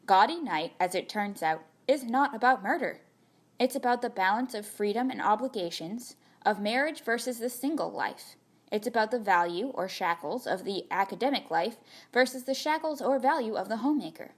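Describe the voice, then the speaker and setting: Female reader for an audio magazine piece: highish voice, very lively intonation, wry and playful, conversational delivery of a written letter. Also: moderate pace. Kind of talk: expressive scripted reading of a chatty open letter.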